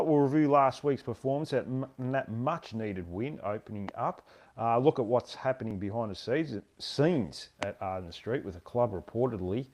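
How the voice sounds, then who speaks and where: A man's voice: mid-range voice, darkish tone. An Australian man hosting a football podcast, introducing the episode and chatting with his co-host. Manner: upbeat host, structured intro. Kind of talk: casual podcast chat.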